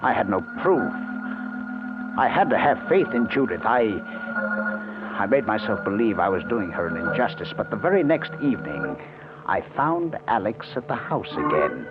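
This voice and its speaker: A man's voice: fairly low pitch, big pitch swings, normal speed. An actor playing a suspicious, tormented husband who narrates his own story in intense, melodramatic old-time radio mystery style. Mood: brooding, tormented. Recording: tinny old radio broadcast, music behind.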